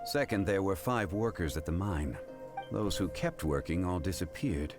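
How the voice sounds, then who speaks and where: An actor playing a composed, scholarly gentleman investigator in a video game, speaking formally and precisely. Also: low voice, animated, moderate pace, music in the background.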